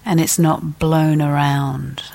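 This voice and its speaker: Woman meditation teacher, really slow and gentle, lots of pauses, medium-low voice that stays pretty level. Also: little pitch movement, vocal fry. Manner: gentle deliberate delivery with long pauses. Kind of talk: guided meditation.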